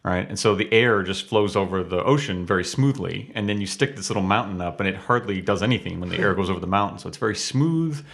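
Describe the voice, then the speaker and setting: A man's voice: on the low side, fast, gravelly, few pauses, a bit bright. A man from Western Massachusetts, a guest astronomer, explaining things in an easygoing interview chat.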